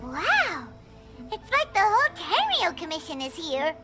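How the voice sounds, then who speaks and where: This is a tiny, excitable fairy sidekick from a video game. Her voice is squeaky and very high, bouncing wildly up and down. She chirps her lines in quick exclamations. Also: over loud music, full character voice. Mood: amazed. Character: small excitable floating fairy companion.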